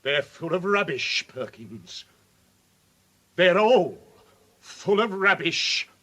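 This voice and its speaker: A pompous, exasperated British official in a radio comedy. His voice is sharp and raspy, swooping dramatically as he gets flustered, with formal, theatrical wording. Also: high voice, sing-song, slow pace, exaggerated voice. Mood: reluctant, appalled. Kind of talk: comic radio play acting.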